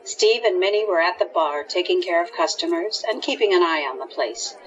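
A woman's voice: lowish voice, animated, a little fast, lo-fi sound. A woman reading scripted storytelling narration for an audio drama.

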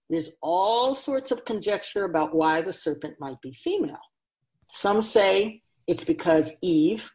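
A woman playing a wise, teasing, ageless female spirit guide in a radio drama, speaking in a measured, knowing way. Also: mid-range voice, darkish tone. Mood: knowing, instructive. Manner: measured, knowing, rhetorical questioning.

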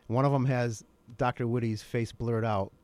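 A middle-aged man chatting informally and a bit haltingly as a guest on a radio show. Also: medium pitch, fairly even intonation, darkish tone. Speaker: interview guest, documentary maker. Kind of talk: casual radio chat.

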